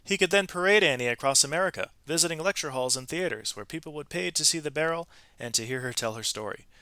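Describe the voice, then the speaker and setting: A man narrates a storybook as a read-aloud for students. His voice is bright and forward at a middle pitch, and he leans on key words with expressive phrasing. The sound is dry and close, with little room around it. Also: smooth, no echo.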